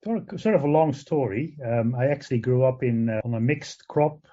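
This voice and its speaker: An older man from the Netherlands with a Dutch accent, a professor answering interview questions, pausing often with fillers and restarts. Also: medium pitch, dark tone. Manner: hesitant, many fillers and restarts. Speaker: guest, professor of animal genetics. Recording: dull lo-fi sound.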